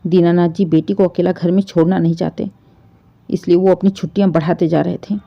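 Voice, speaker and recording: A woman's voice: on the low side, steady tone, fairly quick, gravelly, highs cut off. A woman narrating an emotional family story in a storyteller's style.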